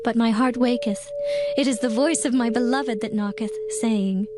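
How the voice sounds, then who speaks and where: A woman playing a yearning, lovesick young bride in a dramatized scripture reading, with tender, measured delivery. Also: fairly high pitch, steady tone, heavy background noise, natural voice. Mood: dreamy, tender. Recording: music under the voice.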